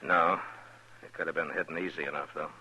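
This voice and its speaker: An American actor playing a stern, watchful U.S. Marshal in a radio western, speaking in a terse, commanding, plainspoken way. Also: male voice, extremely low pitch, fairly even intonation, fairly quick, sharp tone, breathy edge, exaggerated voice. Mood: watchful, measured. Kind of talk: 1950s radio western acting. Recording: noisy, crackly old radio recording.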